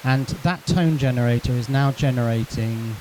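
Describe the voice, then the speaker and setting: A man's voice: mid-range voice, moderate pace, a little mellow, slight background noise, rough recording. A man presenting an informal hands-on electronics demonstration, thinking aloud and correcting himself as he explains.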